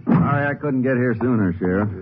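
An actor playing a calm, observant Texas Ranger who questions in a clipped, matter-of-fact way in a 1950s radio drama. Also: male voice, mid-range voice, a little fast, over music, close to an everyday voice. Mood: businesslike. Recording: muffled, noisy old radio recording.

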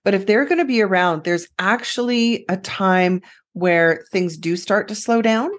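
A woman's voice: medium pitch, a little slow. A woman co-hosting a podcast, giving direct, emphatic hiring advice with confidence. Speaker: podcast co-host, recruiting expert. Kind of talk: casual conversation about recruiting.

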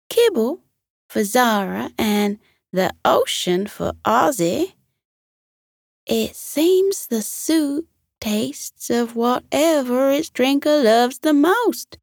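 A woman's voice: on the high side, gravelly, no room sound, studio quality, voice pushed up, full character voice. Woman voicing an earnest, lilting lady pig cook. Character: kindly female pig cook, earnest and coaxing.

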